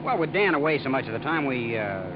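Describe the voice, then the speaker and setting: A man's voice: mid-range voice, very animated, quick pace, background noise, natural voice. An actor playing a nervous, evasive male car salesman who stammers and hedges in a 1950s radio drama, on a dull old recording. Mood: uneasy. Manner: hesitant, stammering. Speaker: car dealer.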